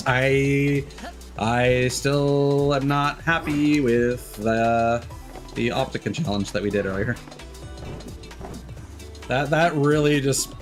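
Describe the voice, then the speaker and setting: A man giving casual live gameplay commentary as a streamer, thinking aloud in loose, candid asides. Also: mid-range voice. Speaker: game streamer. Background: game music.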